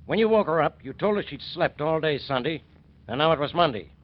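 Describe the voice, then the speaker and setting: A man's voice: a little high, animated, brisk, natural voice. An American man playing a hard-nosed, smug police investigator, blunt and sardonic, in a 1940s radio crime drama. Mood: smug, stern. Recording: dull 1940s radio recording.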